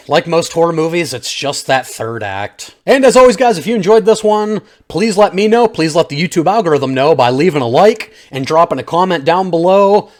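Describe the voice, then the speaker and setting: A man giving an off-the-cuff, opinionated video review, chatting informally and directly to his viewers. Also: fairly high pitch. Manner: conversational, opinionated, informal slang, addresses audience as guys. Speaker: film reviewer, channel host. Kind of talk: casual unscripted video review, talking to viewers.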